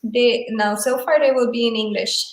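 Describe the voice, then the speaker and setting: German-native woman, casual interview guest; even, monotone delivery, halting; echoey video-call sound. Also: fairly high pitch. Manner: hesitant, many fillers and restarts. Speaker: guest, tarot deck creator and photographer.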